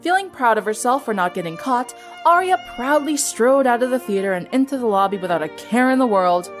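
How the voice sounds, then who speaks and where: A woman's voice: fairly high pitch, animated, brisk. A woman reading a story aloud as scripted dramatic narration. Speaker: fan fiction narrator. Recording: music under the voice. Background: music.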